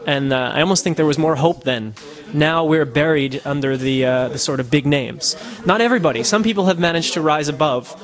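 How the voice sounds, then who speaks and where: A man's voice: fairly high pitch, brisk, few pauses. A man from the United States, a podcaster, answering interview questions in a casual, thoughtful way with many fillers and restarts. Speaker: podcaster and citizen journalist. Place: Netherlands.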